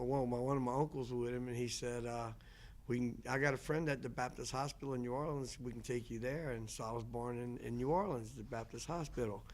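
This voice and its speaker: Man, 60s, guest lecturer; reflective personal storyteller. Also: medium pitch. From Louisiana. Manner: unhurried, reflective, trailing restarts.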